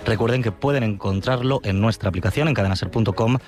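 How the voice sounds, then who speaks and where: A man's voice: fairly low pitch, quick pace. A man with an accent from Spain, hosting a radio programme in a conversational way. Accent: Spanish from Spain. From Yecla, Murcia region, Spain. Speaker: radio host.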